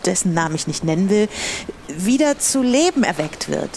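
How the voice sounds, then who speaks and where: A woman's voice: lowish voice, big pitch swings, moderate pace, vocal fry, darkish tone. A woman playing a commanding, dramatic pirate captain who declaims to her crew in an audio play. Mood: solemn urgency. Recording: faint background noise.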